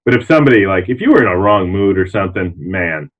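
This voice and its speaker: A man's voice: low-pitched, expressive, quick pace. A man who works as a librarian, reading aloud and chatting informally on a panel. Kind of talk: reading reviews aloud and casual chat. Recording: dull, muffled lo-fi sound.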